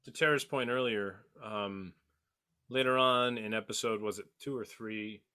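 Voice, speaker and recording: A man's voice: on the low side, fairly even intonation, moderate pace, sharp tone, clear, resonant tone. A man in casual podcast discussion, speaking with emphatic, dramatic phrasing and often repeating words for weight. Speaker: podcast panelist.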